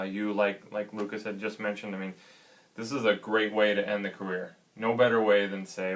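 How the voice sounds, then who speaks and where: A man hosting a college athletics interview, upbeat and casual, with many fillers and quick agreements. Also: on the low side. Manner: upbeat, filler-heavy, frequent backchannel agreement.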